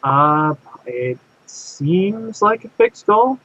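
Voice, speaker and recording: A man's voice: high-pitched, expressive, very slow, bright, forceful tone, dry recording, highs cut off. A man co-hosting a casual podcast, giving short dry replies. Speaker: podcast co-host. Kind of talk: casual chat.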